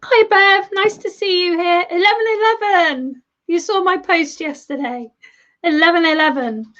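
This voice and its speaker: A woman's voice: extremely high pitch, moderate pace, a bit bright. A woman giving live tarot readings to viewers, chatty and affectionate, calling them darling and love, her voice a little worn as she is losing it. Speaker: tarot reader. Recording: dull lo-fi stream audio.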